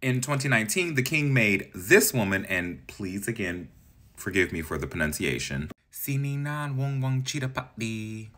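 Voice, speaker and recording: A man's voice: on the low side, sing-song, moderate pace, sharp tone. A man in his thirties casually explaining facts in a vlog, chatty and dramatic, with gossipy asides. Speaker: video creator. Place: green-screen setup, indoors.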